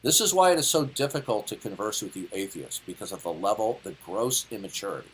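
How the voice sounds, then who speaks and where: A man's voice: on the low side, animated, fast, fairly bright tone, a little hiss or hum, poor audio. A man in his late fifties arguing in a heated debate, lecturing and interrupting in a condescending way. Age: 50s. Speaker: debate caller.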